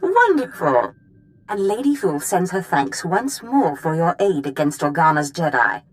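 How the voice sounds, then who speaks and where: An actress playing a commanding, measured Sith master in a video game. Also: female voice, on the low side, big pitch swings. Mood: pleased, knowing. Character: commanding Sith master addressing her apprentice. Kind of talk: dramatic video game acting.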